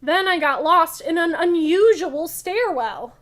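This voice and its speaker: An excitable young storybook adventurer voiced by a woman reading aloud speaks in a high voice. The delivery is animated and emphatic, yet takes its time over the phrases. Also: a little slow. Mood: excited.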